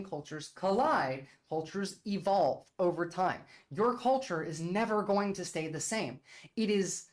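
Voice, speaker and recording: A Turkish-born woman, debating her chat on a casual livestream. She argues bluntly and emphatically, peppering her points with "like". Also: mid-range voice. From Turkey. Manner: blunt, argumentative and emphatic, with frequent "like" fillers.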